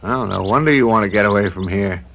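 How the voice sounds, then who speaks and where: An actor playing a casual rural game warden in a radio play, folksy and a little hesitant. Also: male voice, on the low side, fast, creaky, a bit bright, a little hiss or hum. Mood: easygoing.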